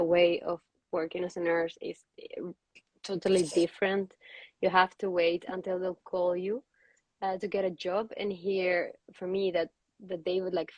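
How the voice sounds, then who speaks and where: A woman from the Canary Islands speaking English, a nurse chatting in a relaxed way in an interview. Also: medium pitch, no echo, rough recording. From Canary Islands, Spain. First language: Spanish. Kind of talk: casual interview.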